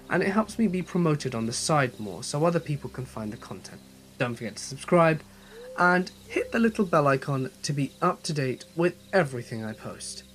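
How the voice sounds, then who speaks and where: A man with a slight head cold, hosting and narrating a horror story channel in a scripted storytelling style. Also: fairly high pitch.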